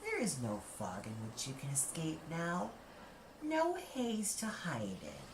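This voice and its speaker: A man's voice: a little high, huge pitch swings, voice pushed up, full character voice. A man voicing Grief, a relentless, witch-like old woman with a blunt, insistent way of speaking, in an audio play. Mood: taunting, blunt. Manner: blunt, drawn-out, insistent delivery. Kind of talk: audio play character acting. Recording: echoey, with noise behind.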